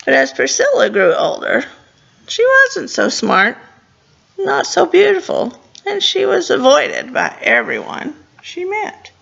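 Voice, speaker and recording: Creaky-voiced woman reading a storybook. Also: high-pitched, takes it slowly, lo-fi sound, a little hiss or hum. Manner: expressive, stumbling over words and commenting as she goes. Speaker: librarian reading a storybook to children. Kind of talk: read-aloud storytime with casual asides on the pictures.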